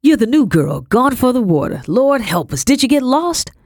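A bossy middle-aged housekeeper who barely stops for breath, as a woman reads her in a storybook. She talks high and loud with rough country grammar, and the recording is dry and close. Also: big pitch swings, dry recording. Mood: scolding. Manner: brisk, scolding, rustic grammar. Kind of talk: audiobook character voice.

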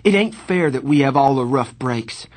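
Hot-tempered teen boy, played by a man, husky, emphatic and defensive, for a novel reading. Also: fairly high pitch, animated, takes it slowly, pitched above the performer's own voice. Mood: passionate. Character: bitter, hot-tempered teenage boy narrator in dialogue.

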